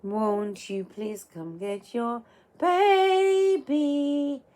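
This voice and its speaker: A tired, hoarse woman voicing a hopeful, tender orphan girl from a storybook in a soft, wistful manner. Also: high voice, very slow, pitched above the performer's own voice. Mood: hopeful, singing.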